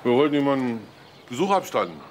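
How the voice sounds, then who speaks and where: Casting: man as wry, suspicious TV police detective, laconic but lively in intonation, over noisy soundtrack. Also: mid-range voice, fast, natural voice. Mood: politely probing. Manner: dry, laconic, probing questions. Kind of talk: crime drama acting.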